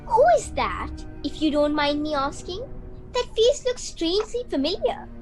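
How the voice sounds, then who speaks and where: A woman acts a polite, inquisitive mother in a radio play. The voice is high and very animated, lilting over faint music. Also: normal speed, poor audio. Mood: curious. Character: polite, curious mother among spooky hosts. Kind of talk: student radio play acting.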